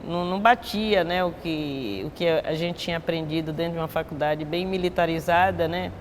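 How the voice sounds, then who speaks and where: A Bahian-accented woman in her sixties speaks slowly and reflectively in a lowish voice. She often closes her thoughts with a tag question. Her voice trails off into a long reverb. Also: a little hiss or hum. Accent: Bahian Portuguese. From Bahia, Brazil. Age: 60s. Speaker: capoeira master. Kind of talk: recorded interview testimony.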